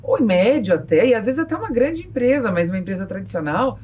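A woman's voice: on the high side, animated, fast talker, a little mellow, distant mic, a little hiss or hum. A Brazilian woman making direct, emphatic points in a casual panel discussion. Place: small padded podcast studio. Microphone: boom-arm studio microphone.

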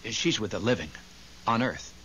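An earnest ghostly husband in seventies radio drama. His voice is bright and swoops expressively as he stammers and restarts in eager fluster. The recording is old and muffled. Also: on the low side, lively intonation, moderate pace, slight background noise. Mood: earnest, troubled. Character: newly dead husband's spirit, earnest and flustered. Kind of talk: 1970s radio drama acting.

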